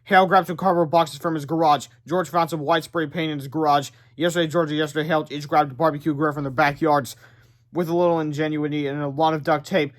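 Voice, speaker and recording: A man narrating a children's storybook aloud in a theatrical, informal way. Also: fairly high pitch.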